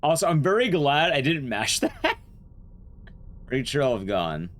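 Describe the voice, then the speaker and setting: A man's voice: mid-range voice, huge pitch swings, moderate pace, a bit bright, a little hiss or hum. A man giving casual, rambling live commentary on a game to his stream chat, informal and a bit sweary. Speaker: speedrun streamer.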